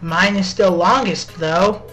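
A woman's voice: on the low side, slow, a bit bright, soft background music, poor audio. A woman playing an earnest, nerdy team member in an amateur comedy radio drama, explaining things matter-of-factly. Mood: dry bantering.

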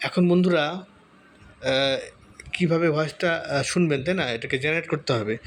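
A man giving a casual step-by-step software tutorial, often repeating himself and checking in with listeners. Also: a little high, fairly even intonation, normal speed. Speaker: tutorial host. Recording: noticeable background noise.

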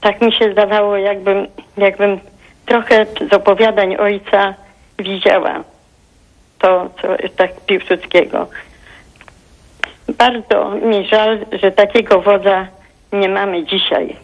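A woman in her eighties from Poland calls in to a TV talk show, speaking in a heartfelt, halting way and often searching for words. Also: mid-range voice, flat delivery. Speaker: caller. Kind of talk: phone-in call to a TV talk show. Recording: dull phone line.

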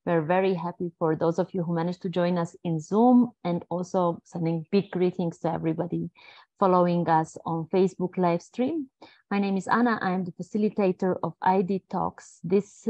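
A woman with a fairly low voice opens the webinar and introduces the speaker, welcoming and a little hesitant with frequent fillers. Her voice comes through a dry, dull-sounding online call. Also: a little mellow, no room sound. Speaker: webinar facilitator. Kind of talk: formal introduction. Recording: dry lo-fi video call audio.

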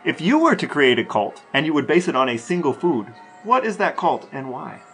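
A man's voice: fairly high pitch, sing-song, brisk, fairly bright tone, faint music behind. A man co-hosting a podcast, asking questions in a casual, conversational interview style. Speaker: podcast co-host.

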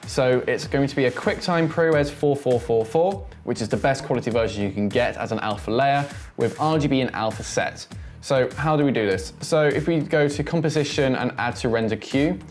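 A man in his twenties giving a casual, chatty software tutorial, thinking aloud as he demonstrates. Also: medium pitch. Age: 20s. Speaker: host, tutorial presenter.

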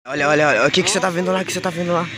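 A young Brazilian man filming a casual vlog on a handheld camera, slangy and excitable as he teases his friends. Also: a little high, very fast, noisy background. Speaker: vlogger. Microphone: handheld camera mic. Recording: loud party music behind.

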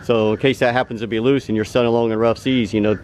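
A man's voice: medium pitch, steady tone, fast talker, darkish tone, a little hiss or hum. A middle-aged man casually giving practical sailing advice to a friend. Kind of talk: casual chat.

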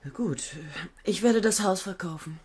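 An actress playing a tired, self-mocking woman scientist in a radio play, talking quietly and often near a whisper. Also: mid-range voice, animated, measured pace, slightly rough, dry recording. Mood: weary. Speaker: lead character and narrator. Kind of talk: 1970s German radio play acting. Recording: old radio play with loud hiss.

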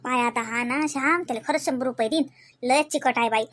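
A woman's voice: high voice, fairly quick, a bit bright. A woman playing a kindly, talkative, scolding village mother in a comedy cartoon, with a Varhadi Marathi accent. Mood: indignant, chatty. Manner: homely, talkative, emphatic.

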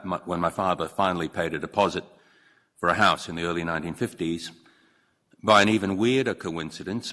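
A man gives an earnest, measured ceremonial speech. His voice sits very low. It rings with the long reverb of a large courtroom, caught on a podium microphone. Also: fairly bright tone. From Hong Kong. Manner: measured and earnest, with occasional slips and restarts. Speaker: newly appointed judge giving a speech.

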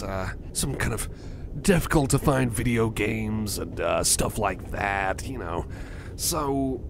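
A man playing a bashful, apologetic young cyborg with a crush in an ASMR roleplay, stammering and repeating himself nervously. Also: heavily acted. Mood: shy, chatty. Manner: stammering, halting, nervous repetitions. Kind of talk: roleplay ASMR, addressing the listener. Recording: clear background train rumble noise. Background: train ride ambience.

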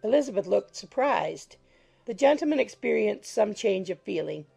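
A woman narrating a classic novel as an audiobook in a measured, formal storytelling manner. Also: mid-range voice, lively intonation, vocal fry, mellow, slightly rough, soft background music. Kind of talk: scripted literary narration.

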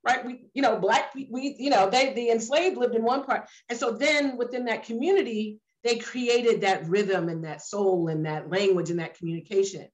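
A woman's voice: fairly high pitch, moderate pace, hardly any pauses, poor audio. A woman facilitating a workshop discussion over a video call, speaking emphatically and repeating points for stress. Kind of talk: leading an online discussion. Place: room with a sloped ceiling. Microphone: laptop webcam on a video call.

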